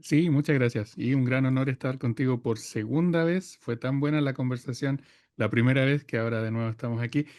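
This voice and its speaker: A man's voice: medium pitch, a little fast, darkish tone, no echo. A man with a mid-range voice talks as a podcast guest. He thinks aloud, often stopping on small fillers before he finds his words. The sound is dry and close. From Chile. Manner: thoughtful, many hesitations and fillers. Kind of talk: reflective conversation.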